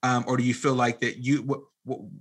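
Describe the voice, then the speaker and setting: A man speaking as a podcast guest in casual conversation, haltingly repeating words as he works out his questions. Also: medium pitch, flat delivery.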